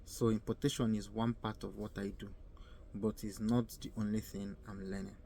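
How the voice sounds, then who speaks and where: A young Nigerian man with a low, mellow voice talks through casual advice, earnest and repetitive, often stopping to hunt for the right words. His pitch stays flat and even, with a faint hum of ambient noise behind him. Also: moderate pace, gravelly. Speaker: vlogger.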